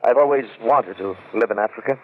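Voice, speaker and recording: An actor playing a wealthy, obsessed recluse, halting, touchy and intense, in an old-time radio drama. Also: male voice, mid-range voice, narrow, tinny audio, natural voice. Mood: obsessed, fervent. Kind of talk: 1940s-50s radio drama acting. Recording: band-limited old-time radio recording.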